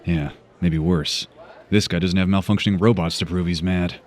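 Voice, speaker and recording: Low, sober veteran hero played by an actor. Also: male voice, fairly even intonation, normal speed, natural voice. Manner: terse, sober. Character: serious, restrained veteran male hero.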